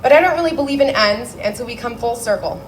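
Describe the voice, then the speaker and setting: A young woman giving a memorial speech for her father into a handheld mic, telling the story with warmth and dry humor. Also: a little high, animated, fast, slight background noise. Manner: heartfelt, wry storytelling. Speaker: daughter giving a eulogy speech. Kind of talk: memorial speech read aloud. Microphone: handheld microphone.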